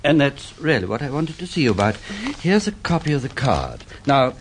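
A man with an English Received Pronunciation accent acts a brisk, businesslike senior police chief in a radio drama. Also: mid-range voice, big pitch swings, a little hiss or hum, natural voice. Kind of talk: British radio detective drama acting.

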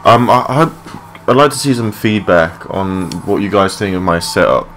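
A young man with a low voice giving rambling, matey, sweary gameplay commentary in a casual unscripted way. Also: lively intonation, normal speed, a bit bright. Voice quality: low-pitched voice. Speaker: gaming video creator. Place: his room at home. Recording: clearly noisy home mic recording.

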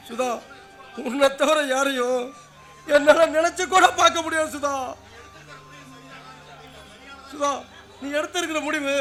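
Guy playing tender melodrama husband, really high bright voice, earnest, music playing behind. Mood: hesitant, devoted. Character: tender, devoted husband consoling his wife. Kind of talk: melodramatic Tamil drama acting.

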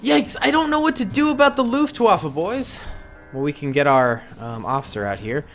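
A man's voice: on the high side, sing-song, moderate pace, a bit bright, soft background music. A young man casually commenting on his gameplay in a playful, jokey way, with slang. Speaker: gaming YouTuber.

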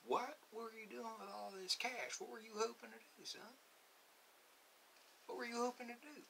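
A man playing a stern, folksy army sergeant in an ASMR interrogation roleplay, questioning a prisoner in halting, repetitive colloquial phrases. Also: very high voice, steady tone, vocal fry, bright, forceful tone, heavily acted, rough recording. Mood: probing, suspicious. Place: small cluttered room, indoors. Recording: hissy home recording.

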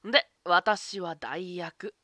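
A woman's voice: fairly low pitch, very slow, pushed and bright, no echo, exaggerated voice. Woman acting a breezy tomboy witch, close and dry. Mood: sheepish, frank. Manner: casual, boyish rough speech. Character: breezy, careless tomboyish young witch girl. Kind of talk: anime-style fan voice drama.

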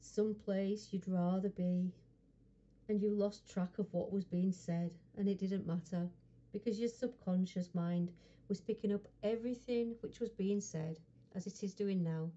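A woman hypnotherapist guiding a relaxation session in a soothing, unhurried manner, pausing often between short phrases. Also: mid-range voice, steady tone, mellow, muffled recording. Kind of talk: guided hypnosis relaxation script.

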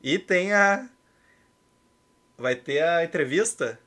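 A man's voice: a little high, animated, takes it slowly, bright, forceful tone. A man in his thirties with a Brazilian accent, chatting casually and ironically as he hosts and commentates a game stream. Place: indoor room at home.

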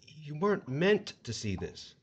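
Casting: actor as sinister demonic taxman, raspy, animated intonation, formal, dull recording. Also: on the high side, a little slow, exaggerated voice. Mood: coolly official. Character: officious, sinister infernal tax agent. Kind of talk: horror audio drama acting.

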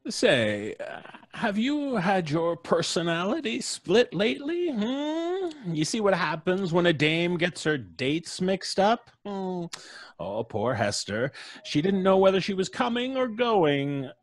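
Guy doing a creepy old time radio horror host, gleefully morbid, drawn out words, super swoopy pitch. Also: big pitch swings, dry recording, studio quality, exaggerated voice. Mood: mocking. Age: middle-aged. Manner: drawn-out, sardonic, punning delivery. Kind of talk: 1940s radio horror acting.

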